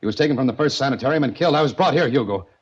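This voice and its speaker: An actor playing a tough, wry, framed hero who speaks tersely in a 1940s radio mystery. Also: male voice, mid-range voice, racing, husky, lightly acted. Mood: desperate pleading. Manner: terse, hard-boiled, urgent in dialogue. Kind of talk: 1940s radio mystery acting and first-person narration. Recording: dull vintage 1940s radio recording.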